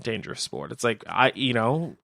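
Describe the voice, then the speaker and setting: A man co-hosting a radio show, chatting casually in an agreeable, measured manner. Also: mid-range voice, big pitch swings, creaky, bright, forceful tone, no room sound, clean studio sound. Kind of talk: casual chat.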